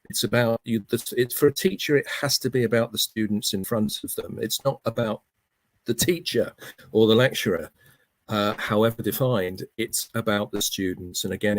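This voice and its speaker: Earnest low-voiced man, dry sound. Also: no echo. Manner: earnest, emphatic, lecturing. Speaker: academic panelist. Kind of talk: online panel discussion.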